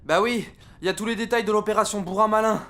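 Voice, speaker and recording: A man's voice: high-pitched, fairly even intonation, quick pace, a bit bright. A man playing a harried, irritable French army captain in the trenches, barking exasperated orders and swearing in a comic audio war drama. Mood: exasperated, brisk.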